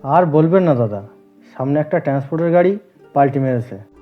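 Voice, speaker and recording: A man voicing a plain-spoken, matter-of-fact bus conductor who explains things colloquially in an animated horror story. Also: a little high, brisk, close to an everyday voice. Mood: emphatic. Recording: dull lo-fi audio with music behind.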